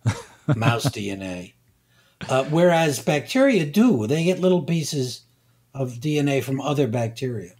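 An older male scientist speaking very slowly as an interview guest. He chooses his words with care and pauses often on filler sounds. His pitch sits in an ordinary middle range. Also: big pitch swings, a little mellow. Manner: slow, deliberate, many hesitations.

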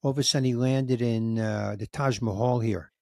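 A man's voice: mid-range voice, fairly even intonation, normal speed, creaky, dark tone, dry recording. An older man tells a story on a podcast and acts out the lines of each person in it. His voice sits in a middle register, and the sound is dry and close on a studio mic. He gets emotional at times but keeps the talk casual. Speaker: podcast host, comedian. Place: home room with record shelves. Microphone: studio mic on boom, headphones, video call.

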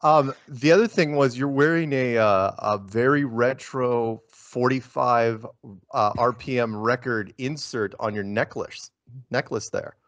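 A man's voice: medium pitch, measured pace, fairly bright tone, dry recording. A middle-aged man hosting a casual interview over a video call, chatty and joking as he rambles through side stories. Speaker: podcast host.

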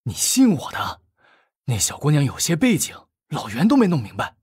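A man's voice: on the high side, wildly swooping pitch, moderate pace, a little mellow, breathy edge, no room sound, studio quality, heavily acted. A man playing a cautious gang lieutenant in an audiobook, reasoning and deferential. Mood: urgent persuading.

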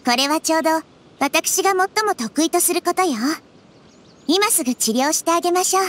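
A woman voicing a wise, gentle child-like nature deity in an anime game, calmly and thoughtfully explaining in a soft girlish voice. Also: extremely high pitch, moderate pace, slight background noise. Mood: calm, reassuring.

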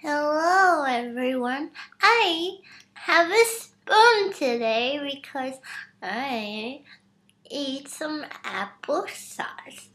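A woman voicing a silly, dreamy, childlike girl in a halting, sing-song comedy monologue. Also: high voice, lively intonation, pushed and bright, heavily acted. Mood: childlike, shy. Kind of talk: whimsical comedy character monologue. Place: bedroom. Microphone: webcam at arm's length.

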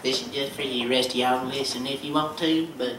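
A middle-aged man, fronting a bluegrass band and telling deadpan folksy jokes to a live theater audience between songs. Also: medium pitch, brisk. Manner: folksy deadpan joking with the crowd. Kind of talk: stage banter between comic songs. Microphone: stage vocal mic, recorded by a far camera. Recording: echoey hall recorded from far off. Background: loud guitar and band music.

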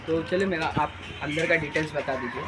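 A man's voice: fairly high pitch, brisk. Young man vlogging on a clucking poultry farm. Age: young adult. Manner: chatty, fills with "matlab" often, repeats answers back. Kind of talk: casual walkthrough interview. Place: outdoor poultry farm sheds. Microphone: handheld phone camera. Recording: phone audio with clear farm noise. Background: chickens clucking.

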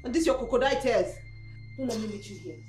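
A woman's voice: on the high side, fast talker, reverberant, over loud music, voice pushed down, lightly acted. A woman voicing a stern, angry woman employer who accuses her maid coldly. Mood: cold anger. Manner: cold, accusing.

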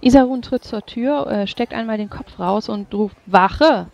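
A woman's voice: fairly high pitch, normal speed, gravelly, dark tone, no room sound, highs cut off. A woman roleplayer casually narrating what her character does in a tabletop game.

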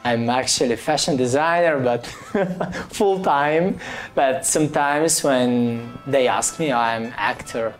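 A man from Bulgaria with a Bulgarian accent, speaking halting English in an interview. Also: medium pitch, faint music behind. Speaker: actor and fashion designer. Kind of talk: press interview.

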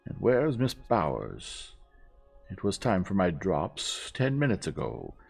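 A man's voice: deep voice, wildly swooping pitch, takes it slowly, vocal fry, darkish tone, breathy edge, faint music behind, pitched above the performer's own voice, exaggerated voice. A man voicing an imperious, fault-finding old lady with a snappish, condescending manner in an audiobook. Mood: scolding, haughty. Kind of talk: audiobook character acting.

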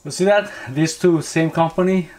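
Higher-voiced man reviewing casually. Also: very noisy. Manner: halting, repetitive, thinking aloud. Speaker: product reviewer and vlogger. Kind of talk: casual hands-on review talk. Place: indoors at a tabletop.

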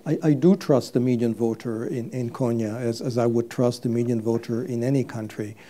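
Older man from Turkey, mellow voice, halting stage-guest delivery with fillers and restarts, lapel mic. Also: medium pitch, fairly even intonation. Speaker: guest economist. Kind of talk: live stage interview. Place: stage before a live audience.

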